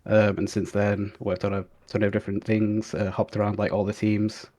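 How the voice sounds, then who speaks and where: Easygoing young man, low voice. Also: normal speed. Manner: easygoing, relaxed answers with fillers. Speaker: guest, game QA analyst. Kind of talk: casual interview chat.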